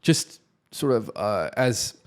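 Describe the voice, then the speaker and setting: A man's voice: on the low side, sing-song, takes it slowly. A man from New Jersey talking casually as an interview guest, reflective, often checking in with tag questions. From New Jersey, United States. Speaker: podcast guest, real estate developer.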